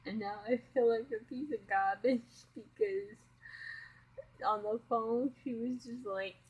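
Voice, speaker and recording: A middle-aged woman, upset, rambling through a personal confession at home at night and keeping quiet so as not to wake others. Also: on the high side, lively intonation, measured pace, husky, dull audio. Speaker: vlogger.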